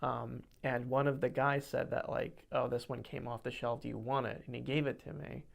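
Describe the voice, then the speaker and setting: A young man with a gravelly edge to his voice, talking in a relaxed, rambling way on a podcast. He restarts often, uses plenty of fillers and laughs while telling stories. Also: medium pitch, moderate pace. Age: young adult. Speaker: podcast co-host. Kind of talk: casual chat.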